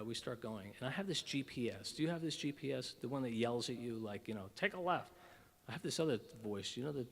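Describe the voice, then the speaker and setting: A man's voice: medium pitch, long reverb tail. A man giving a humorous, self-deprecating keynote speech at a breakfast event, telling stories animatedly with frequent restarts. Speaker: keynote speaker. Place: conference breakfast room.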